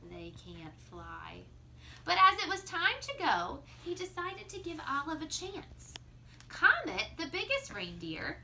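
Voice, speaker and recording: High bright female voice, children's read-aloud, expressive drawn-out phrasing, slight background noise. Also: vocal fry. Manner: expressive, drawn-out delivery with hushed asides. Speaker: teacher reading a picture book aloud. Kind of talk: animated children's story read-aloud with asides.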